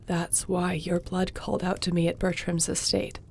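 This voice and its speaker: A woman playing a devoted sorceress and court mage who speaks softly and measuredly. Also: lowish voice, little pitch movement, vocal fry, slight background noise. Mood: moved. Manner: soft, measured, laughs easily. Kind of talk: audio roleplay acting.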